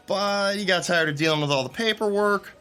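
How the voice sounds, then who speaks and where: A man's voice: high voice, brisk, pushed and bright, clean tone, soft background music. A man playing a joking, friendly theater crew member who tells funny stories about coworkers. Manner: teasing storyteller. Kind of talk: radio drama acting.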